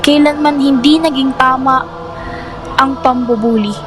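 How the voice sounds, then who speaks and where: A woman's voice: high-pitched, fairly even intonation, measured pace, over music. A woman giving scripted narration for a radio drama.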